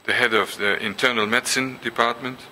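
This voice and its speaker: An older man whose first language is Dutch gives a symposium lecture, pausing often with ums and restarts and reading passages aloud. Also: medium pitch, steady tone, pushed and bright, slight background noise, rough recording. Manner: hesitant, many ums and restarts. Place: lecture hall.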